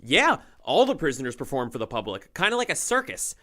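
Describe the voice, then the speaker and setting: A man's voice: medium pitch, wildly swooping pitch, a little fast, pushed and bright. Guy doing a flustered young assistant in an audio drama, stammers, voice jumps up and down. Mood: helpful, flustered. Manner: flustered, stammering, self-correcting. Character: awkward, eager, bumbling young male lab assistant.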